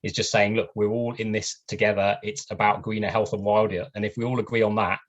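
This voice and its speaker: A man's voice: lowish voice, little pitch movement, brisk. A man hosting an online event over a video call, talking earnestly with many fillers. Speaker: event host. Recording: muffled lo-fi video call audio.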